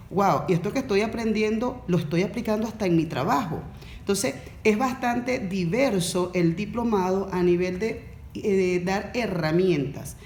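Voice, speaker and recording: A woman, a course facilitator explaining on a podcast in a measured way that restates her points. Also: medium pitch, gravelly, a little mellow, roomy sound, slight background noise.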